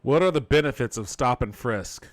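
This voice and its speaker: A man's voice: medium pitch, lively intonation, moderate pace, background noise. A man doing casual gameplay commentary as a streamer, talking in an amused, incredulous, sweary way. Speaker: video game streamer and podcast host.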